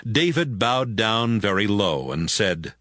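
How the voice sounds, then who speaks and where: A man's voice: lowish voice, sing-song, slow pace, fairly bright tone, dry recording, studio quality. A man narrating a dramatized audio Bible as a scripted story.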